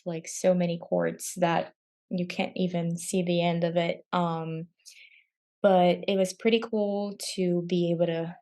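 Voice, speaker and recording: Mellow-voiced young woman from Brazil. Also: on the low side. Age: young adult. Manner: thoughtful, with frequent "um"s. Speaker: guest. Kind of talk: casual interview.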